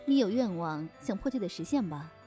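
Animated, enigmatic innkeeper, played by a woman. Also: mid-range voice, a little fast, lightly acted. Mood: inviting, mysterious. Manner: teasing, self-assured, probing. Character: poised, enigmatic innkeeper of a magical inn. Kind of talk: Chinese audio drama acting. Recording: faint music behind the voice.

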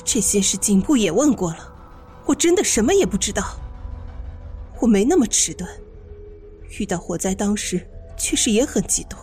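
A woman's voice: mid-range voice, expressive, normal speed, long pauses, darkish tone, faint music behind, lightly acted. An actress playing a bitter, guarded bereaved mother, suspicious and defensive, in an audio drama. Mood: defensive, agitated.

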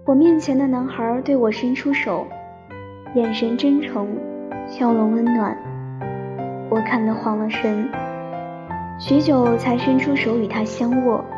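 A woman playing a young, romantic, dreamy student in a radio drama, telling her love story in a soft, sentimental, unhurried way. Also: on the high side, steady tone, lightly acted. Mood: tender, wistful. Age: young adult. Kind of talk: radio drama narration and dialogue. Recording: dull lo-fi recording with piano music. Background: piano music.

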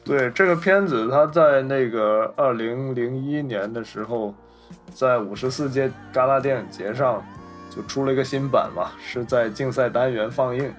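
A man with a medium-pitched voice co-hosts a casual film discussion. He talks in an explanatory, conversational way and readily chimes in with agreement. Music plays behind him. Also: moderate pace. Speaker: podcast co-host.

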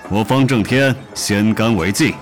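Man acting a low-voiced, stately old wuxia master. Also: measured pace, soft background music, natural voice. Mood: hearty, proud. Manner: formal, stately, hearty patriarch. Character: genial sixty-year-old male martial sect master. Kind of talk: Chinese wuxia audio drama acting.

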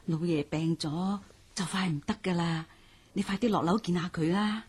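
A woman's voice: fairly low pitch, moderate pace, husky. A woman playing an anxious, caring older wet nurse in a radio drama, pleading with a Hong Kong Cantonese accent. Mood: urgent, pleading.

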